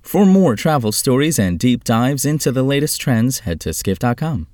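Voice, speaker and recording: A man's voice: medium pitch, sing-song, moderate pace. A man reading scripted travel-style news briefs as a podcast host.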